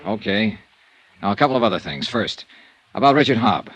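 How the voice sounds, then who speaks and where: An American actor playing a tough, shrewd insurance investigator in a 1950s radio drama, brisk and commanding. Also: male voice, fairly low pitch, expressive, fairly bright tone, low-quality recording. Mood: firm, insistent. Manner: brisk, commanding, clipped delivery. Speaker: lead actor and narrator. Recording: vintage radio recording with faint noise.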